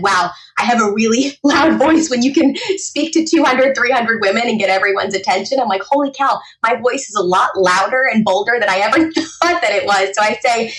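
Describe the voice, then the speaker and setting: A woman's voice: mid-range voice, fairly bright tone, rough recording. Female podcast guest, twenties, mid-range voice, conversational and explanatory, rephrases as she goes. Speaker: podcast guest, etiquette trainer and author. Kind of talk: casual podcast interview.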